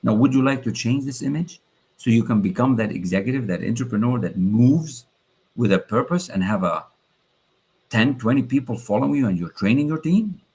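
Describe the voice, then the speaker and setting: A man from Lebanon hosting a livestream, pitching his coaching program persuasively and repeating phrases for emphasis. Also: animated, brisk, a little mellow, breathy edge. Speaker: coach and host.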